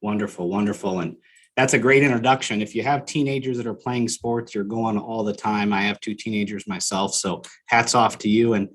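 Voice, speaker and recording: A man's voice: fairly low pitch, fairly even intonation, brisk. A man in his forties hosting a panel interview, encouraging and friendly with his guests. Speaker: host.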